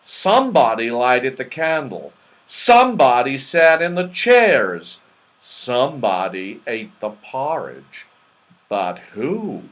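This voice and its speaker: A man's voice: mid-range voice, very animated, slow, a bit bright. A man voicing an anxious, puzzled magical man in a storybook. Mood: worried. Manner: worried, puzzled.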